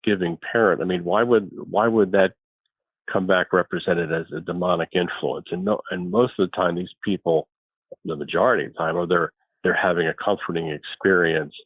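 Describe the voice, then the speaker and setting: A man's voice: low voice, steady tone, brisk, breathy edge, no echo. A man speaking as an interview guest, thoughtful and restarting his words as he starts each thought. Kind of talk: relaxed conversational interview. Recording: tinny phone-like line, dry.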